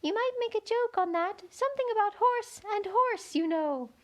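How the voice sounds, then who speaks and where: A woman voicing an extremely small, teasing insect with a tiny, high voice in a storybook reading. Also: expressive, moderate pace.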